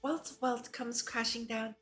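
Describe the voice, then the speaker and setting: A woman with a fairly high voice reads a visual novel on a livestream. She shifts between narrating the text and chatting about it like an eager fan. Faint music plays behind her. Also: fairly even intonation, slow, a bit bright. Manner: enthusiastic, giggly fan reactions between read passages. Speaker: VTuber streamer. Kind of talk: reading a visual novel aloud with casual live commentary.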